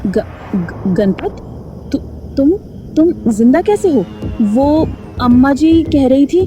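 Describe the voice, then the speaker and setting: A woman's voice: sits high, sing-song, slow, mellow, exaggerated voice. A woman playing a kind-hearted, determined village mother in a Hindi cartoon story, earnest and emotional. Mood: shaken. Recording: faint music behind the voice.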